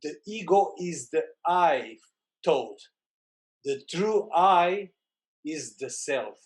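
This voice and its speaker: Man, Romanian accent, non-native English, halting reading, slow pace, higher voice. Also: expressive. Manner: reads aloud haltingly, with pauses. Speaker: satsang participant.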